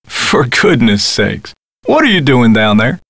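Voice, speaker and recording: An actor playing a friendly, helpful, folksy theater worker in a video game. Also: male voice, medium pitch, wildly swooping pitch, unhurried, vocal fry, a bit bright, no room sound, exaggerated voice. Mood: concerned, friendly. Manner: folksy, encouraging.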